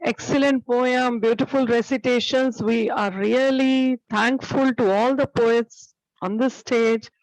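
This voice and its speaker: A woman's voice: a little high, fairly even intonation, measured pace, few pauses, darkish tone, dry recording, rough recording. A woman with an Indian accent politely and formally hosting an online poetry gathering over a video call. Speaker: host.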